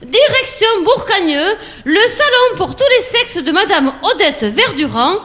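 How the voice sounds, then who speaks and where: A woman's voice: very high voice, sing-song, unhurried, bright, forceful tone. A woman announcing a radio comedy segment in a lofty, mock-grand manner. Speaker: show announcer. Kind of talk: scripted comic jingle announcement.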